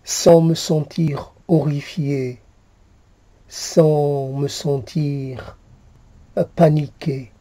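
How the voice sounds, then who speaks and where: A man reciting poetry in a solemn, halting manner, repeating phrases as he goes. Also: a little high, little pitch movement, warm tone, no room sound. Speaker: poet reciting own poem.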